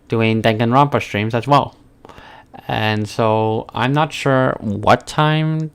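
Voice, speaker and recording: Male streamer, creaky low-key delivery with fry, casual talk while working at art, partly to himself. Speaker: streamer, game developer. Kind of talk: casual live stream chat while drawing.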